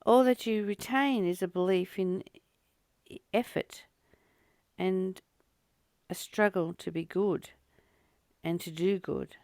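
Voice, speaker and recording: A woman reads and reflects on spiritual text at a very slow, deliberate pace. Her voice sits a little low, with plenty of fry in it. She phrases things in measured pieces, as a host guiding a daily study. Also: a little mellow, no echo. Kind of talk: reading a spiritual text aloud with commentary.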